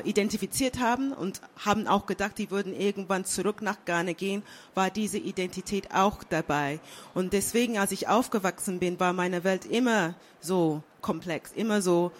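A woman's voice: mid-range voice, slight background noise. London-born woman, hesitant panel guest. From England. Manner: thoughtful, many fillers and restarts. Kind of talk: panel discussion.

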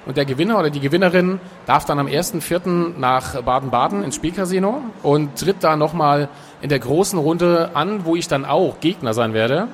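Chatty man with a slightly high voice. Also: normal speed, a little hiss or hum. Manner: relaxed, chatty, with frequent filler sounds. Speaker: author and guest. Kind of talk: casual interview talk.

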